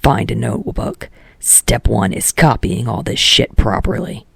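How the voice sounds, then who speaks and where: A man acting out a hot-headed, foul-mouthed teenage boy from an audiobook. He is blunt and scolding, with a husky growl full of gravel, captured close and dry. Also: medium pitch, animated, a little slow, fairly bright tone, dry recording, heavily acted. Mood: irritated. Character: brash, foul-mouthed, short-tempered teenage boy tutoring a classmate. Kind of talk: acted dialogue in fan fiction audiobook.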